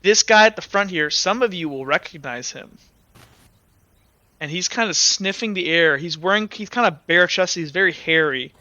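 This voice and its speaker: A man's voice: high voice, normal speed, pushed and bright, no echo. A man narrating a tabletop game as game master, rambling with many fillers and hedges. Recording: dull lo-fi audio.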